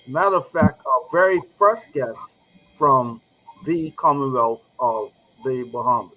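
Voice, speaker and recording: A man hosting a live regional talk show, speaking in a deliberate, measured way with emphatic phrasing. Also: medium pitch, bright, forceful tone, faint music behind, rough recording.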